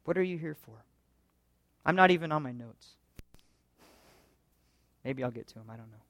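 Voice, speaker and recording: A man with a fairly high voice preaches to his congregation, earnest and conversational, asking question after question. The sound is close and dry, with no room around it. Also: expressive, normal speed, vocal fry, fairly bright tone, dry recording. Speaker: pastor. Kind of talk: sermon.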